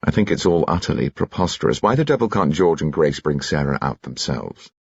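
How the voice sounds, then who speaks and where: A man's voice: extremely low pitch, big pitch swings, brisk, creaky, husky, no echo, muffled recording, heavily acted. A man playing a dry, weary detective. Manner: dry, exasperated. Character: dry, reserved male detective inspector.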